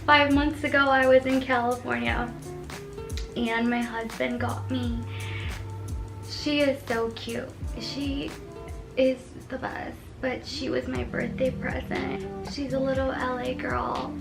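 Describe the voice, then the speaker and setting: A woman in her twenties chatting excitedly in a casual beauty haul vlog, gushing and giggly. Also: high voice, takes it slowly, vocal fry. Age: 20s. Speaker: beauty vlogger. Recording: echoey room with background music.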